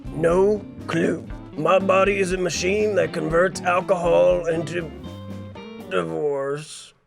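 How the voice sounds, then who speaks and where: A man voicing a blunt, hard-drinking, divorced old barkeep type with a gravelly voice in a comedic anime parody dub. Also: sits high, slow, music in the background, exaggerated voice. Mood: drunken rambling. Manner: blunt, rambling, drunken deadpan.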